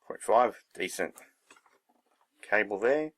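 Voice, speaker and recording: A man's voice: fairly low pitch, expressive, takes it slowly. A man giving a casual hands-on demonstration, thinking aloud in a laid-back, unscripted way as he works. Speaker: hobbyist presenter.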